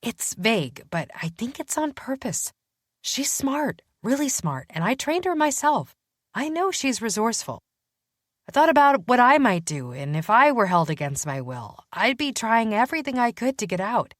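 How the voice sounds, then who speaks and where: A woman voicing a spirited retired female detective who talks in quick, excited, insistent bursts. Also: fairly high pitch, dry recording, studio quality, pitched above the performer's own voice. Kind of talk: audiobook character dialogue.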